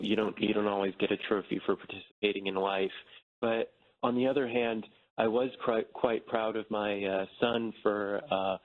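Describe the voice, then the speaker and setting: A man phoning a live TV program, giving his opinion in a halting, deadpan way. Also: fairly low pitch, natural voice. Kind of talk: prank call posing as serious opinion. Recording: muffled narrow telephone line.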